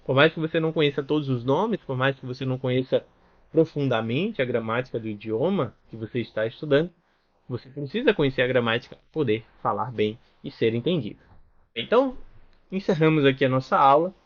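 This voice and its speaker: A man's voice: mid-range voice, lively intonation, brisk. Brazilian man teaching, slightly dull audio. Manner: explanatory, with frequent "né?" and "tá bom?" check-ins. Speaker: language teacher. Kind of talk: online lesson.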